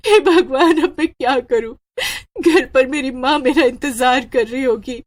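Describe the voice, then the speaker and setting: A woman's voice: high-pitched, unhurried, voice pushed up. A woman voicing an earnest, emotional young working woman in a horror story. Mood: tearful. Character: upset, earnest young working woman who met ghosts. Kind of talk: Hindi horror story dialogue.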